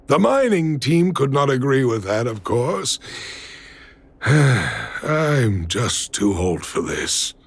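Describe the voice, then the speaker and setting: An actor voicing a weary, gentle old miner and father in a video game, hesitant and sighing, with a gravelly, husky voice. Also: medium pitch, sing-song, slow pace, full character voice. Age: older.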